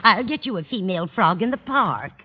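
A woman's voice: mid-range voice, big pitch swings, a little fast. An actress playing a kindly, scatterbrained older landlady in an old-time radio comedy, fluttery and genteel in manner. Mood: kindly, earnest. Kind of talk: 1940s-50s radio comedy acting. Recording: muffled, husky-sounding old radio recording.

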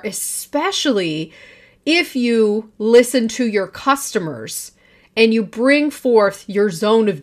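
A woman in her forties speaks on her own podcast, her voice pitched a little high. She talks with confidence and projects strongly, leaning hard on her points. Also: fairly bright tone. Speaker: podcast host. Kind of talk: solo podcast monologue.

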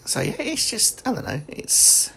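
A man's voice: extremely high pitch, wildly swooping pitch, a little slow, gravelly, bright, forceful tone, a little hiss or hum. A man, hosting a casual podcast chat and laughing often. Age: 40s. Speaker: podcast host.

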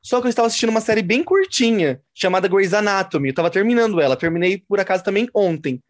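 A man's voice: high voice, quick pace. Man, Brazilian accent, casual chat, actor on a show.

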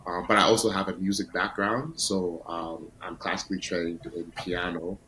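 A man's voice: deep voice, steady tone, normal speed, bright, forceful tone, low-quality recording. A young adult man, speaking politely and informally as he introduces himself to a group. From Alberta, Canada. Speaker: roundtable guest, music producer. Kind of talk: casual self-introduction. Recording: faint background noise on online call.

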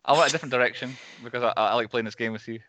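A chatty Scottish man who runs the podcast, rattling through his points at a brisk clip. His voice is bright and forceful, recorded dry with a slightly dull, lo-fi tone. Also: medium pitch, fairly even intonation, no echo. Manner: quick, chatty, laughs often. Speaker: podcast host. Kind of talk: casual chat.

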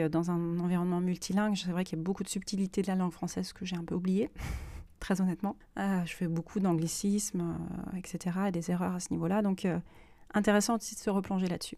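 A woman with a fairly low, soft-edged mellow voice records a personal podcast in a very dry, close sound. She talks unscripted and reflectively, pausing and reworking phrases as she looks for the right word. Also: no echo. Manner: hesitant, reflective, searching for words. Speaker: podcast host and self-published author.

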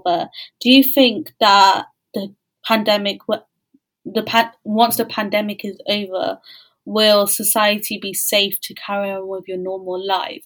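A woman hosting a podcast in a casual interview chat, with informal, halting phrasing. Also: mid-range voice, poor audio. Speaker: podcast host.

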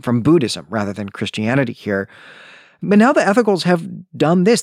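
A man's voice: medium pitch, dry recording, studio quality. A man hosting a podcast, talking through literary commentary like a conversational lecture, often rephrasing and qualifying his points. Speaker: podcast host.

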